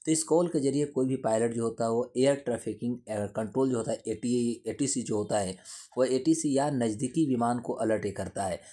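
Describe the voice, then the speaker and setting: Male current affairs teacher, soft-edged mellow voice, mid pitch, didactic explainer who restates points. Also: moderate pace. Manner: didactic, repeats and rephrases points. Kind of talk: explanatory lesson to camera.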